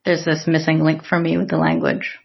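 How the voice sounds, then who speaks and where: Low, gravelly woman on a dull recording. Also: little pitch movement, normal speed. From Filipino family. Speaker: podcast co-host. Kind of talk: casual podcast chat. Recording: slightly dull lo-fi sound.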